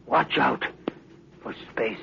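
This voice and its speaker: Gravelly, halting dying doctor. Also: male voice, medium pitch. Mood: dying, urgent. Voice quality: weak, gravelly. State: gasping, failing. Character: dying male ship's doctor, weak, struggling to warn. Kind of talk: 1950s radio acting. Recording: muffled, faintly hissy 1950s radio recording.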